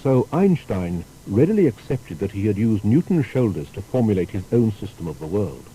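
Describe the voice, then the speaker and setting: A man's voice: lowish voice, sing-song, normal speed, warm tone, a little hiss or hum, poor audio. A man narrating a scripted biographical documentary with dramatic, emphatic phrasing.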